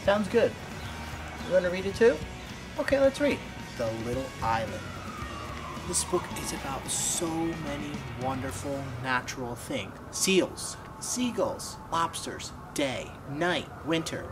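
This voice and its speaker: A man's voice: on the high side, big pitch swings, measured pace, over music. A young man reading a children's storybook aloud expressively, with asides and questions to young listeners. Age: young adult. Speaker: storybook reader. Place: outdoors by a wooden fence. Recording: clearly noisy outdoor ambience.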